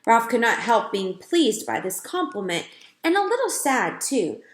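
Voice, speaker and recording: Expressive woman reading a storybook. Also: a little high, measured pace. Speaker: read-aloud reader. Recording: roomy echo.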